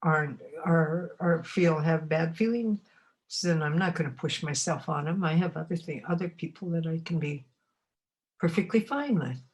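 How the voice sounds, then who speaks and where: A woman in her eighties from Montana, recalling her life in an oral history interview. She talks unhurriedly and reflectively, often pausing to find her words. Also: sits low, poor audio. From Montana, United States. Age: 80s.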